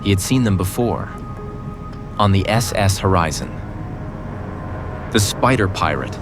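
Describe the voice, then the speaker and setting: A man's voice: deep voice, lively intonation, a little slow, over music. A man narrating a scripted audio drama as a dramatic storyteller.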